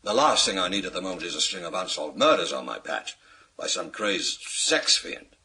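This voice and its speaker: Here is a stern, pompous British police chief from a radio crime drama. His voice is low, very husky and sharp-edged, and he speaks formally, with weighty pauses, as someone obsessed with his public image. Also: expressive, exaggerated voice. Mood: weary, worried. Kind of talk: radio thriller acting.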